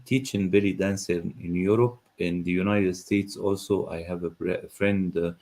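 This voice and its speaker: A man from Egypt, hosting a live interview over a video call, hesitant and pausing often. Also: low voice, steady tone, darkish tone. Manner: hesitant, many uh fillers. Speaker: interviewer, host.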